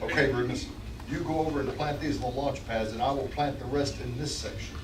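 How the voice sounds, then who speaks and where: A man's voice: medium pitch, a little fast, close to an everyday voice. A man playing a threatening, commanding saboteur villain in space, barking short orders in an amateur script table read. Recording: roomy, far from mic, noisy room.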